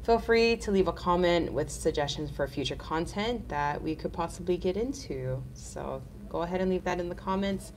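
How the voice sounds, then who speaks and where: A woman's voice: fairly low pitch, moderate pace, hardly any pauses, a little mellow, a little hiss or hum. A woman in her thirties hosting a casual live nail art tutorial, chatty and upbeat, sounding nasal from a cold.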